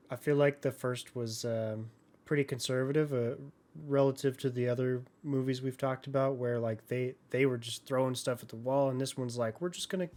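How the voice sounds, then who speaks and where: A man co-hosting a podcast, giving casual opinions on films in halting, hesitant phrases. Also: mid-range voice, darkish tone. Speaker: podcast co-host. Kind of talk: casual movie discussion.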